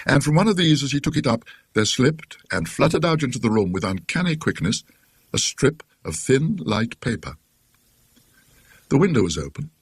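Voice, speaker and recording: A British man reads a gothic tale with a widely swooping, animated pitch. His voice is husky and closely, dryly recorded. The delivery is scholarly and theatrical, with a dry edge. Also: no echo. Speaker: narrator. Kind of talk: scripted classic ghost story narration.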